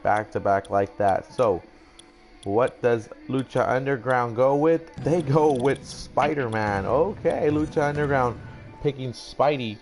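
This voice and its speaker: A man's voice: medium pitch, unhurried, a little mellow. A young man doing casual, rambling mock-announcer commentary for a video game draft, swearing now and then. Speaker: gaming YouTuber.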